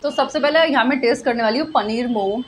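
A woman in her twenties reviewing food at a small cafe, chatting casually and drawing out her tasting remarks slowly. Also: a little high, faint music behind. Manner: chatty, mixes Hindi and English, slow drawn-out tasting remarks. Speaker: food vlogger. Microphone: handheld phone camera at arm's length.

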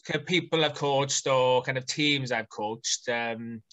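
Welsh male coach, 30s, bright tone, relaxed chatty guest on a webcam call. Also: medium pitch, a little slow. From Wales. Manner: relaxed, chatty, many ums and fillers. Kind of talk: casual video-call interview. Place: small home room indoors. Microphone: video call webcam.

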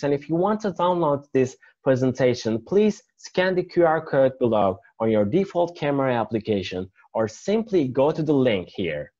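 A young man talks casually to prospective students, giving direct practical tips. His voice is mid-pitched, and the webcam audio from his small room sounds dull and muffled. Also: a little mellow. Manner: casual, direct advice to listeners. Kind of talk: informal presentation advice. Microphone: webcam on a video call.

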